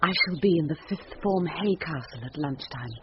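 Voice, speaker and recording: An anxious headmaster's wife in a radio play. Her voice is low for a woman, earnest and urgent, on a dull, noisy old recording. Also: expressive, moderate pace. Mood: concerned, urgent. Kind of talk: radio drama dialogue.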